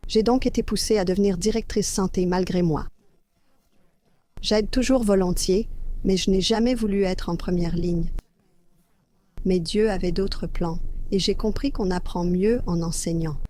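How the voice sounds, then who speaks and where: A woman's voice: medium pitch, darkish tone, no echo. Older woman telling her story, dry sound. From Australia. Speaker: guest health educator. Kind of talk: personal storytelling in an interview.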